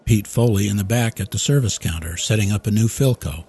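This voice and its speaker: A man's voice: lowish voice, brisk, darkish tone, husky. A man narrating a short story from a script in a dramatic reading style. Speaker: audiobook reader.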